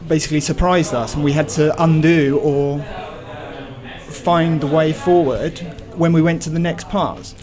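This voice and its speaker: A man's voice: fairly high pitch, fairly even intonation, unhurried, over music. A man being interviewed as a film crew member, explaining things casually as he thinks aloud.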